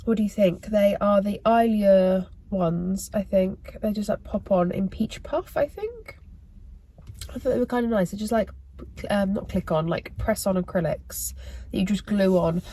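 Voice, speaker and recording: A young British woman vlogging in a casual, rambling way, her voice croaky and tired, full of fillers and self-corrections. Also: medium pitch, moderate pace, mellow. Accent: British English. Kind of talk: casual chatty vlog talk to camera.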